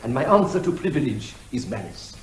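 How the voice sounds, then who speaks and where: An actor playing a verbose barrister who argues rhetorically and is elaborately deferential to the judge. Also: male voice, mid-range voice, sing-song, fairly quick, echoey room, natural voice. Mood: declamatory, insistent. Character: verbose, theatrical male barrister, obsequious to the judge. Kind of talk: comic courtroom advocacy.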